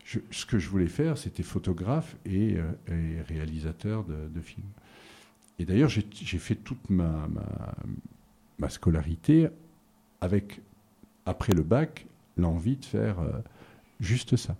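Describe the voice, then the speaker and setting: Low, dark-voiced hesitant man. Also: expressive, measured pace, creaky, husky. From southern France. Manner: hesitant, many fillers and restarts. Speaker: interview guest. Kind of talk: relaxed conversational interview.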